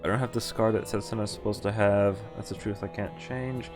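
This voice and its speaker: A man's voice: on the low side, fairly even intonation, brisk, over music. A man streaming a visual novel, reading its text aloud and dropping in casual reactions.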